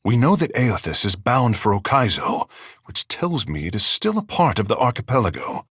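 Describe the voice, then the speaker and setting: Vain, theatrical young charmer, husky and lively. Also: male voice, mid-range voice, moderate pace, no echo, clean studio sound. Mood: serious, curious. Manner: theatrical, playfully pompous, flowery formal phrasing. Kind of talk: video game character dialogue and barks.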